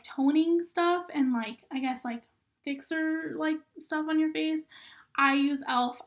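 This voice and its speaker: High-voiced young woman, slightly hoarse from a cold, casual rambling tutorial delivery. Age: young adult. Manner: chatty, filler-heavy, lots of "um" and "like". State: hoarse, recovering from illness. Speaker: rodeo queen giving makeup tips.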